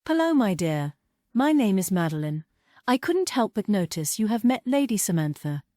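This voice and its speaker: A woman's voice: mid-range voice, sing-song, moderate pace, dark tone, no echo, clean studio sound, natural voice. A woman playing a scheming, imperious villainess in a grand, theatrical manner at a play table read. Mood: sly, probing. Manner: grand, dramatic. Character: scheming, imperious villainess Madeline, sly and menacing.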